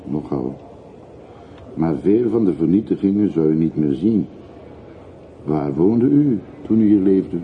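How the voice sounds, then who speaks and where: An actor playing a talkative, obliging older railway porter in a radio play, chatty and deferential. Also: male voice, low-pitched, expressive, measured pace, long pauses. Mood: reflective. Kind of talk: radio drama acting. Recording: muffled narrowband radio recording with hiss.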